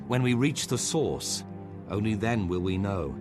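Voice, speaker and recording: A man's voice: on the low side, lively intonation, measured pace. A resolute ally preparing a ritual to cleanse a cursed land. His earnest delivery rises and falls with plenty of movement, over orchestral game music.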